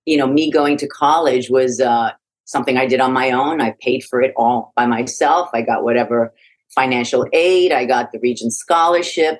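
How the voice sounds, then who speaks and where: A middle-aged woman from Iraq, a podcast guest telling her life story in a frank, reflective way with frequent restarts. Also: rumbling low. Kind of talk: conversational interview.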